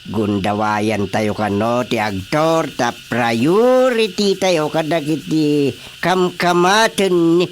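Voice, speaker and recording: A man's voice: fairly high pitch, takes it slowly, a little hiss or hum. An actor with an Ilocano accent playing a chatty, teasing elderly village chairman in a radio drama comedy. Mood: teasing, jovial.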